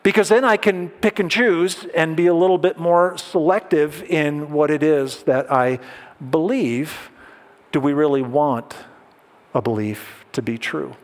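Guy preaching a chatty sermon to a crowd, kinda high voice, big echoey hall. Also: unhurried. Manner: conversational, asks the audience questions, light jokes. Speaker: pastor. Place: large hall with an audience. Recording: long hall reverb.

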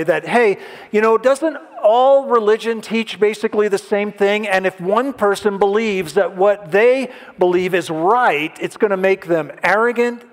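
A pastor giving a conversational sermon, asking the audience questions and making light jokes. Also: male voice, high-pitched. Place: large hall with an audience. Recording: long hall reverb.

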